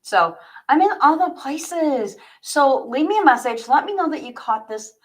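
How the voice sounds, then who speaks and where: A woman's voice: sits high, animated, normal speed. A woman livestreaming as an author, chatty and informal as she speaks straight to her viewers. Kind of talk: casual livestream chat, then reading own fiction aloud.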